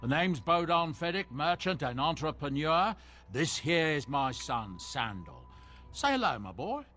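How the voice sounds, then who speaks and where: Bright, genial dwarf merchant. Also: male voice, on the high side, very animated, measured pace, soft background music, full character voice. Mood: grateful, friendly. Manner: folksy, polite, salesmanlike. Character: genial, courteous dwarf merchant and doting father.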